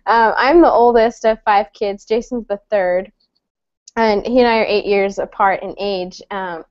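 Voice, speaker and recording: A woman's voice: mid-range voice, fairly even intonation, a little slow, a little mellow, no room sound. A woman in her thirties from El Salvador, moderating an online panel in a friendly, chatty way with many fillers and restarts. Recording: dull lo-fi dry sound.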